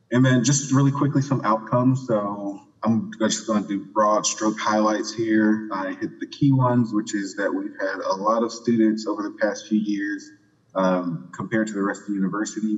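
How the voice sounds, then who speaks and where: A man presenting his program's results at a conference in a relaxed way, with many fillers and quick asides. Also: on the low side. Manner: relaxed, filler-heavy, skipping ahead for time. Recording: muffled audio in a reverberant hall.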